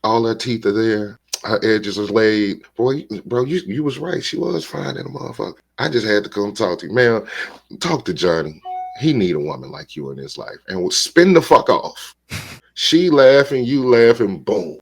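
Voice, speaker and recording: A very deep-voiced man in his forties hosts a relaxed podcast conversation. He talks in loose street slang and slips into little acted-out scenes. His voice sits low and easy. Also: normal speed, creaky, husky. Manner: animated storyteller, acts out bar scenes; laid-back, streetwise slang. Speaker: podcast host. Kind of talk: casual podcast chat.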